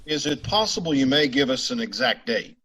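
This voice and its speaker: A man's voice: mid-range voice, expressive, brisk, pushed and bright. A man playing a terse, matter-of-fact police detective sergeant in an old-time radio drama, in short clipped sentences. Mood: polite, official.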